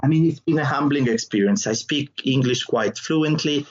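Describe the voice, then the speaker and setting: A husky-voiced man with an Italian accent talks through an interview, animated and quick to laugh, often restarting his words. The audio sounds dull and a little lo-fi. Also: mid-range voice. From southern Italy. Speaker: interview guest, comedian. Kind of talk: conversational interview.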